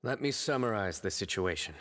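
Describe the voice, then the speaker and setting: A man playing a stern, composed young investigator, speaking with serious, deliberate authority in a video game. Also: lowish voice, animated, a bit bright, slight background noise. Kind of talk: measured video game dialogue.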